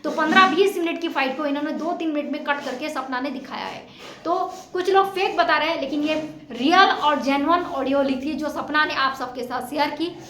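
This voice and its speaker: High-pitched, emphatic woman, echoey room. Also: normal speed, bright, forceful tone. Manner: emphatic, indignant, rhetorical questioning. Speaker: vlogger commenting on a family dispute. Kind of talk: informal opinion commentary to viewers. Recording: echoey untreated room sound.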